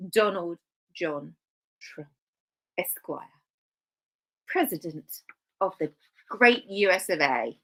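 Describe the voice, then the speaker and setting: A woman's voice: medium pitch, fairly bright tone. A woman hosting a live stream, talking casually with dry sarcastic asides and rambling self-corrections.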